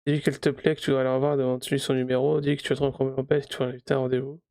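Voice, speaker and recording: A man's voice: medium pitch, little pitch movement, very fast, dark tone, dry recording. A man streaming a game live, making offhand, mocking asides as he picks choices, on a close streaming mic. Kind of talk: live gameplay commentary.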